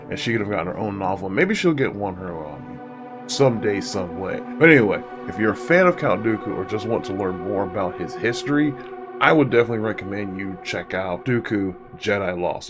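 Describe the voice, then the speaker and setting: A man hosting a casual, conversational review monologue with informal, rambling asides. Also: on the low side. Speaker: host of an audiobook review series. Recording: music behind the voice. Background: music.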